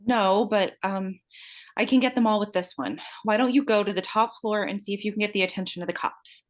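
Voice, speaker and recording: A woman's voice: medium pitch, a little fast, close to an everyday voice. A woman playing a young beauty queen, an eager helper with hidden menace, in a screenplay table read. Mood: excited.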